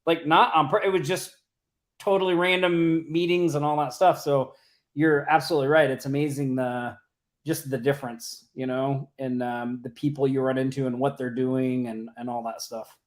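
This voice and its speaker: A man's voice: mid-range voice, little pitch movement, normal speed. A man from Oklahoma co-hosting a casual livestream chat, talking loosely with many fillers and restarts. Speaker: co-host. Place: home or office in Las Vegas.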